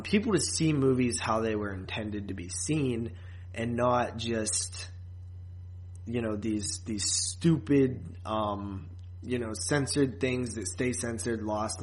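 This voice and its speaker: A man's voice: mid-range voice, low-quality recording. Casual male podcast co-host, repeating himself.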